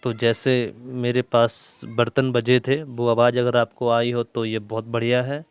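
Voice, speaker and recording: A man's voice: mid-range voice, completely flat delivery, a little slow, clean tone, highs cut off. A man in a casual product-test talk to viewers, explaining step by step and repeating his points. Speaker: tech reviewer. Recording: noisy amateur recording with ambient background noise.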